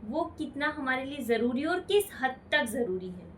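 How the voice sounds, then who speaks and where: A woman's voice: high-pitched, expressive, moderate pace, fairly bright tone, recorded from a distance, slight background noise. A woman radio host politely asking a doctor questions on behalf of her listeners. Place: radio studio.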